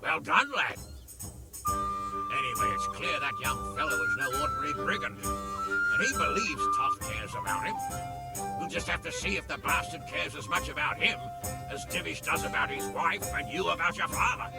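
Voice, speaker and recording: Gravelly, swooping sardonic nobleman. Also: mid-range voice, huge pitch swings, moderate pace, loud music behind the voice, poor audio. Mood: approving. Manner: sardonic, hearty. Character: wry, boisterous medieval nobleman and commander. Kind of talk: dramatic video game acting.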